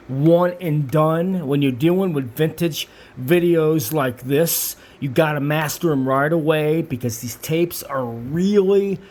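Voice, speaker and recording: A middle-aged man giving a casual, off-the-cuff explanation in an emphatic, repetitive, boastful way, like a seasoned specialist. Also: on the high side. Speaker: video tape restoration specialist. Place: television equipment studio.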